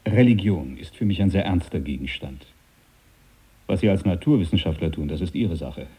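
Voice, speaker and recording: A low-voiced, domineering naval captain. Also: male voice, lively intonation, moderate pace, warm tone, husky. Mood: grave, suspicious. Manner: brusque, blunt, commanding. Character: stern, domineering, devout British naval captain. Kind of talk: radio drama dialogue.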